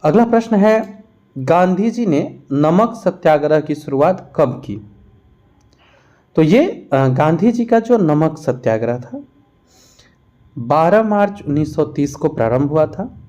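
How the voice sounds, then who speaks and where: A man's voice: fairly high pitch, lively intonation, slow pace, long pauses, warm tone. A man teaching an exam revision lesson, reading out questions and explaining each answer. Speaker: teacher for competitive exam preparation.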